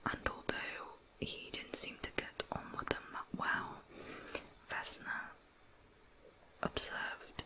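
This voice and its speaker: A host telling true crime stories for ASMR in a breathy, unvoiced whisper. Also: slow, long pauses.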